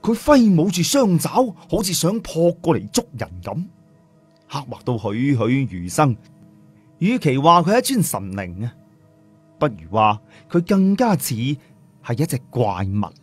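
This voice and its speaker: Husky male storyteller, lively pitch. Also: measured pace, faint music behind. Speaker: audiobook narrator. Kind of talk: dramatic storytelling narration.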